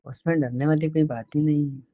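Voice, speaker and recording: A man's voice: a little high, steady tone, brisk, no echo. A man chatting casually and intimately on a phone call, in a coaxing, teasing manner. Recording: tinny, band-limited phone call recording.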